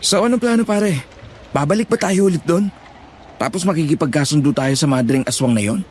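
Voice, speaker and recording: Man acting a blunt, higher-pitched indignant villager. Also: a little fast, darkish tone, a little hiss or hum, close to an everyday voice. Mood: questioning. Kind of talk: Filipino horror audio drama acting.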